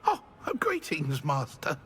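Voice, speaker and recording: A man voicing an anxious, servile house-elf in a video game, halting and deferential as he speaks to his master. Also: fairly high pitch, huge pitch swings, a bit bright, slightly rough, full character voice. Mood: nervous, servile. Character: servile, anxious old house-elf servant, speaks of himself in third person. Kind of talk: video game character acting.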